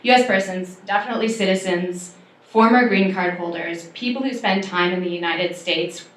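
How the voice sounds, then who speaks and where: A woman's voice: lowish voice, moderate pace. A woman lawyer giving earnest, emphatic panel remarks, with some fillers. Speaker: civil liberties lawyer on a panel. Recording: echoey room.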